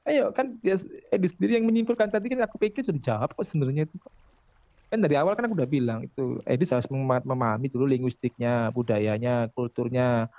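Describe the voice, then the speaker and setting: A man's voice: mid-range voice, quick pace, no room sound. A man in a heated online debate, combative and demanding evidence. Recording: dry lo-fi audio.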